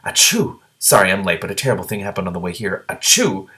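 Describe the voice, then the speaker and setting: Storybook dragon, elderly male, fussy, loud blusterer with sneezy grumbles, played by a male reader. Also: low-pitched, animated, quick pace. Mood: flustered.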